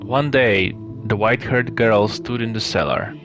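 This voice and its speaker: A man narrates a storybook live at an unhurried, slow pace, with a creaky edge to his lowish voice. He reads in a measured way and stumbles now and then. Music plays clearly behind him. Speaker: streamer reading a visual novel aloud.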